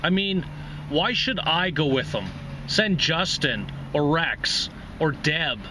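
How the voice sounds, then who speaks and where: A man's voice: a little high, expressive, slow, background noise. A man voicing a sullen, sarcastic young man who resents being jilted, in a short story reading. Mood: resentful.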